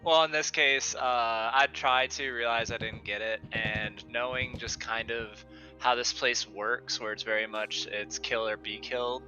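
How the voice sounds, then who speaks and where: A man's voice: mid-range voice, steady tone, a little slow, sharp tone. A man chatting casually as a player in a tabletop roleplaying game. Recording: dull lo-fi audio under music.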